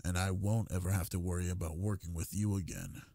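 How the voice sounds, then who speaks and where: A young man playing a brooding, possessive delinquent goth bully in an intimate ASMR roleplay, with a low, husky voice and a domineering murmured drawl. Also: fairly even intonation, a little fast, dark tone, no room sound. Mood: exasperated, insistent.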